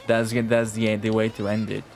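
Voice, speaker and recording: A man's voice: lowish voice, monotone, fairly quick, darkish tone, soft background music. A man giving casual, offhand live game commentary, swearing and muttering to himself as he plays. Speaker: game streamer.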